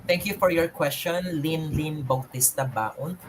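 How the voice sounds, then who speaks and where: A man's voice: on the high side, a little slow, slight background noise. A man, a guest speaker, answering questions at an online seminar over a video call, often restarting and repeating phrases.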